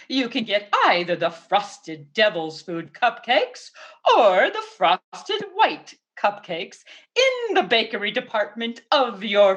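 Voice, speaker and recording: A woman's voice: mid-range voice, a bit bright. A woman speaking as a home economist, carefully reading an instructive 1950s radio sponsor message. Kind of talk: scripted 1950s radio advertisement. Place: radio studio.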